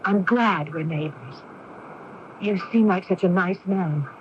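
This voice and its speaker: A woman's voice: on the low side, measured pace, close to an everyday voice. An actress playing a young woman with a split personality in a 1980s radio drama, speaking in a poised, conversational way. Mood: friendly. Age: young adult. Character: young woman with split personality, sporty Jane and aloof Vanity. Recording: noisy, muffled 1980s AM radio recording.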